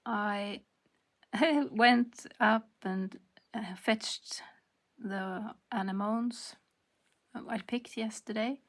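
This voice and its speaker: A woman's voice: medium pitch, steady tone, drawn-out words, pauses often, fairly bright tone. A woman with a Swedish accent, talking through her embroidery work in a hesitant, half-whispered way, often searching for words. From Sweden. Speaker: textile artist. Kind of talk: casual craft tutorial narration.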